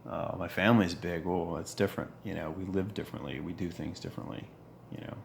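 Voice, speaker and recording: A man in his forties with a deep voice, talking earnestly in a reflective monologue, pausing and restarting as he goes. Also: fairly even intonation, vocal fry, noisy background. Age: 40s. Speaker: vlogger.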